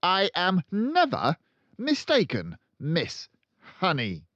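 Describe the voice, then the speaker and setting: A furious, domineering storybook headmistress, acted out by a man. The voice is pushed high and loud, leaping about as it snorts and bellows. The recording is slightly muffled and dry. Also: wildly swooping pitch, slow, dry recording, full character voice. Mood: barking, angry. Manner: barking, bellowing, snorting contempt. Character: tyrannical, furious, bullying headmistress, a huge middle-aged woman. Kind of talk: children's audiobook character voice.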